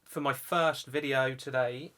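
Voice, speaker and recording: A man's voice: medium pitch, fairly even intonation, measured pace, fairly bright tone. A young man presenting a casual vlog in an informal, chatty way. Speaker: video channel host. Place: indoor music studio.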